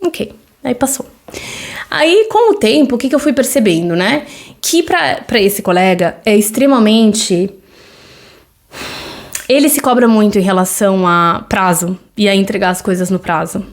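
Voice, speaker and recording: A woman's voice: mid-range voice, expressive, moderate pace. A Brazilian woman in her thirties with a Brazilian Portuguese accent, hosting a solo podcast and talking in a loose, conversational way, with many fillers and tag questions. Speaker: podcast host.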